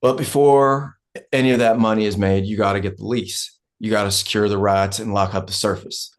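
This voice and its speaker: A man's voice: on the low side, steady tone, brisk, lightly acted. A man playing a blunt, world-weary oil company land man in a script read. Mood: matter-of-fact. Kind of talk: dramatic script table read, monologue.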